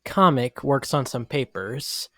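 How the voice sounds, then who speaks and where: A man's voice: medium pitch, animated, slow, sharp tone, no room sound, clean studio sound. A man narrating a scripted dramatic story, now and then stumbling over the words.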